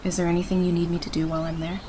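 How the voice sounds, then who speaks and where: Woman acting caring survivor, lowish voice. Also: flat delivery, racing, natural voice. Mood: understanding. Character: caring, steady woman survivor, loyal friend. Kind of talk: audio drama acting. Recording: clearly noisy outdoor ambience behind voice.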